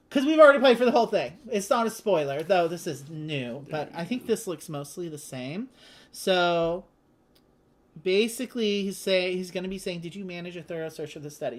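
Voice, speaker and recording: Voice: medium pitch, moderate pace. A host casually teaching and playing through a board game, chatty and informal, with enthusiastic asides.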